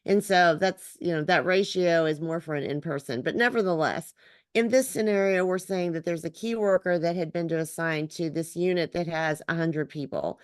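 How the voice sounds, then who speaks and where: A woman's voice: fairly low pitch, moderate pace, clear, resonant tone, no room sound, clean studio sound. A woman with a slightly scratchy voice from allergies, walking trainees through a scenario on a video call. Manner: explanatory, steady, uses "right?" checks. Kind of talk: virtual training presentation.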